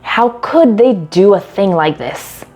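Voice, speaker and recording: A woman's voice: mid-range voice, animated, slow, darkish tone. A woman voicing a distressed, upright male sports club coach in a story, agitated and emphatic.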